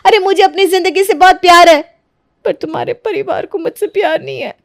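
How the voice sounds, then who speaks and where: A woman playing a scheming, quarrelsome young wife in a melodramatic, accusing manner for an animated moral story. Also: very high voice, brisk, creaky, dry recording, studio quality. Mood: indignant. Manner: accusing, melodramatic, self-righteous. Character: scheming, quarrelsome young married daughter-in-law.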